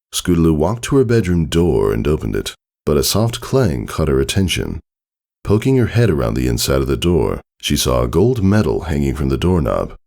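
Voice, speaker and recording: Very deep, gravelly male storyteller. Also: a little mellow. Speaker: fan fiction reader. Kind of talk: scripted storybook narration.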